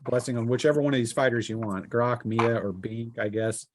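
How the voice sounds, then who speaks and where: Man, medium pitch, game master, casual rules explanation, halting, conversational. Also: background noise. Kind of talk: casual tabletop game refereeing.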